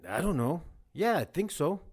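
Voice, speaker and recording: Man acting a fed-up security guard, blunt and nagging, slightly high voice, really animated. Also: moderate pace. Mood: irritated. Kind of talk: audio drama dialogue.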